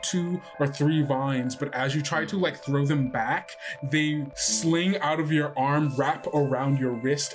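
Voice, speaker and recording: A game master hosting casually and narrating an improvised story, with a playful, teasing manner. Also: medium pitch. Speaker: game master and podcast host.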